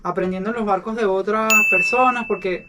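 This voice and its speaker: A man's voice: sits high, fairly even intonation, brisk, heavy background noise. A man hosting a podcast aboard a sailboat, introducing his guest and asking friendly prompting questions. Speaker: podcast host. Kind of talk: casual podcast interview.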